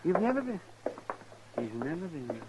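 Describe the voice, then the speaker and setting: An actor playing a grumbling, querulous frontier town doctor in a 1950s radio western. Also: male voice, on the high side, very animated, moderate pace. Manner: querulous, complaining. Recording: noisy old radio recording.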